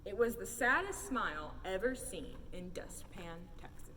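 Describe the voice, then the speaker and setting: A woman reading a children's picture book aloud in an animated, theatrical storytelling style. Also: medium pitch, normal speed. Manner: theatrical, expressive. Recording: roomy hall, distant mic, faint noise.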